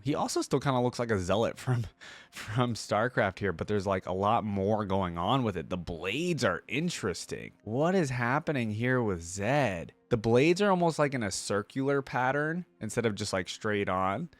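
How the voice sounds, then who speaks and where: A man with a fairly low voice hosts a reaction video in casual, slangy commentary. He thinks out loud in an informal way, and the recording is dry and close, with little room sound. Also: expressive, measured pace, dry recording, soft background music.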